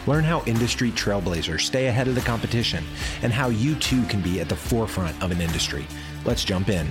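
A man reading a scripted podcast intro in a smooth, promotional way. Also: on the low side, lively intonation, fairly quick. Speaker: podcast announcer. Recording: voice over clear music.

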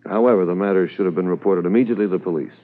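Through a tinny vintage radio recording comes a man acting a senior police officer. The voice is on the low side, formal and judicious, with the authority of a superior addressing a subordinate. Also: rapid-fire, close to an everyday voice. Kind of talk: 1950s radio drama acting.

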